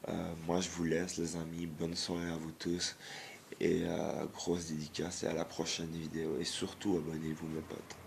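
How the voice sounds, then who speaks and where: A man, hoarse from a cold, giving a blunt, halting vlog rant full of fillers and restarts. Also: deep voice, completely flat delivery, a little hiss or hum. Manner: hesitant, many fillers and restarts; blunt, disapproving. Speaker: YouTuber.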